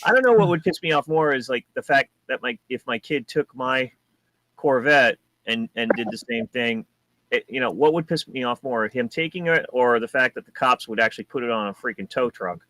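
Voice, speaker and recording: A man from New Hampshire co-hosting a casual car talk show, rambling and animated with many restarts. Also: mid-range voice, a little fast, no echo, rough recording. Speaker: co-host. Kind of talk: casual chat.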